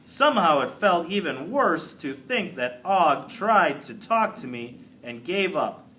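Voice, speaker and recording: A man reading a children's book aloud as an expressive narrator, like a teacher to a class. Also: fairly high pitch, measured pace.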